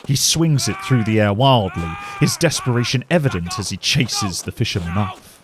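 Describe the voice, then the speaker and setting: A man narrating a scripted fantasy story in a measured, dramatic storytelling manner. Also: on the low side, animated, a crowd in the background. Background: music.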